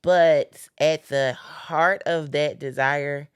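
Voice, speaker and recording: Podcast host, woman, very deep voice, earnest preacherly cadence, dry close sound. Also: fairly even intonation, very slow, clean tone, no echo. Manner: earnest, preacherly, repeats phrases for emphasis. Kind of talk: casual faith-based chat.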